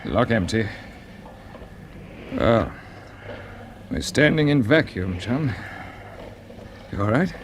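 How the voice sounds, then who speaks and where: An actor with a British accent playing a calm, commanding space pilot in clipped 1950s radio drama style, giving steady instructions. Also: male voice, fairly low pitch, takes it slowly, long pauses, a little hiss or hum, heavily acted. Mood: brisk, steady. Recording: vintage radio broadcast with music behind.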